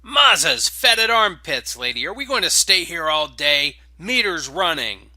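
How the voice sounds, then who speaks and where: A man voicing a sickly, impatient old cab driver in an audio story, shouting in exasperation. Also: a little high, very animated, unhurried, no echo, pitched above the performer's own voice, exaggerated voice. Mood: exasperated.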